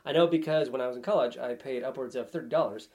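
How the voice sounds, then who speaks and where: A man's voice: mid-range voice, fast, mellow. A man chatting casually as a podcast guest in a playful, rambling, comic way.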